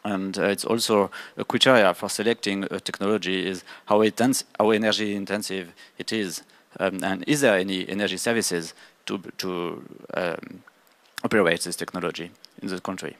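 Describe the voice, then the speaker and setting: A man giving a halting spoken readout at a meeting, often pausing mid-phrase. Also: deep voice, steady tone, a bit bright, dry recording. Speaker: roundtable participant reporting back.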